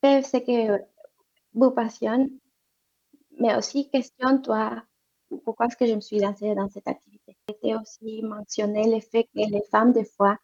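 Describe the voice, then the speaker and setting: Woman guest, higher voice, muffled sound. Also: level tone, measured pace, darkish tone. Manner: hesitant, many fillers and restarts. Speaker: interview guest, economic development representative. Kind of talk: interview answers.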